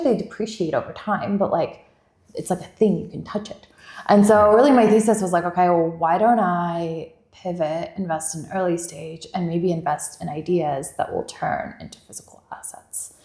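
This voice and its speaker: Gravelly low-voiced woman in an echoey room. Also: normal speed, a little mellow. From Bulgaria. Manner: chatty, rambling, many "like" fillers. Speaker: venture investor guest. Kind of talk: casual interview chat.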